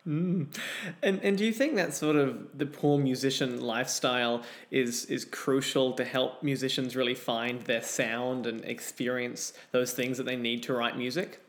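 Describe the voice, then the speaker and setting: A man's voice: medium pitch, fairly even intonation, moderate pace, few pauses. A man hosting an interview show, introducing guests and asking prepared questions. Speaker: podcast host and interviewer.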